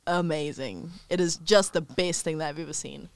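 Lively host, smooth voice, dry close sound, casual chat, quick exclamations. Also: medium pitch, no room sound. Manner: lively, laughs easily, exclamations. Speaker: creative business student.

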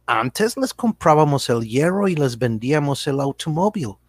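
Actor haciendo de empresario americano zalamero, voz rasposa. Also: male voice, on the high side, lively intonation, normal speed, no room sound. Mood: persuasive, grandiose. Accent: American English accent in Spanish. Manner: hesitant, sprinkles English words into Spanish. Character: slick, evasive American businessman selling his multinational company. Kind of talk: satirical radio drama acting.

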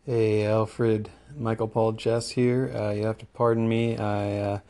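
Sick male caller, gravelly and dark, flat and slow, hesitant voicemail. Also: lowish voice. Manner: hesitant, halting, with many fillers. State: sick with the flu. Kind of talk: recorded voicemail message.

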